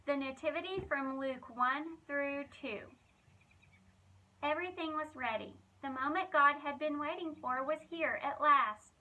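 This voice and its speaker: A woman reading a children's storybook aloud in an expressive, dramatic way with emphatic phrasing. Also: high voice, measured pace, low-quality recording. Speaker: children's ministry reader.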